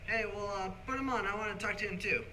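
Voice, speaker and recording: A man's voice: high voice, fast, pushed and bright, a little hiss or hum. An actor playing a brash, jovial young businessman, breezy and boastful, in a 1940s-style radio play.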